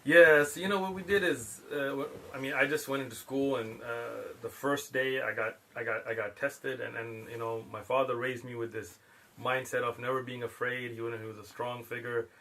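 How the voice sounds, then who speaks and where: A man's voice: mid-range voice, level tone, normal speed, a bit bright, rough recording. A middle-aged man, born in Pakistan, telling stories in a casual chat and laughing often. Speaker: guest. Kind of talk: casual storytelling.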